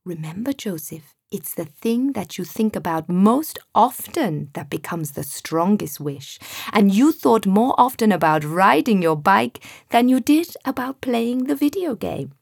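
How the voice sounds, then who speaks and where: A woman voicing a kindly male genie who speaks in a calm, gentle, knowing way. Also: mid-range voice, a little slow, gravelly, slightly rough, no echo, clean studio sound, full character voice.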